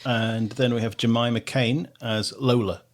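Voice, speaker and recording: Man, Manx accent, radio host, mid-pitch voice, short friendly prompts. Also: steady tone, a little slow. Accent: Manx English. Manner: brief encouraging interjections, reads out cast list. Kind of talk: casual radio interview.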